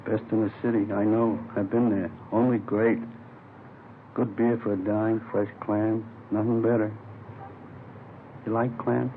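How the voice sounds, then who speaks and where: A man's voice: lowish voice, level tone, a little slow, long pauses. An American man playing a chatty, easygoing small-time police informant in a 1950s radio crime drama, folksy and rambling. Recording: old radio, phone-like band, faint noise.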